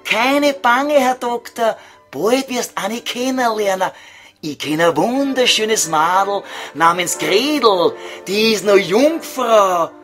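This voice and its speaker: High slow viennese devil, sly and vulgar, comedy sketch with faint music behind. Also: male voice, lively intonation, a bit bright, heavily acted. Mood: sly coaxing. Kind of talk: comic radio play sketch.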